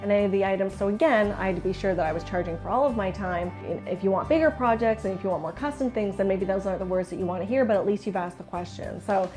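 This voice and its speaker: A woman with a Canadian accent speaks at a middle pitch in the manner of a candid business coach. Her delivery is chatty and informal, as in an instructional video. Faint music sits behind her voice. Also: quick pace, hardly any pauses. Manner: chatty, candid, acts out client remarks. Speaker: business coach for interior designers.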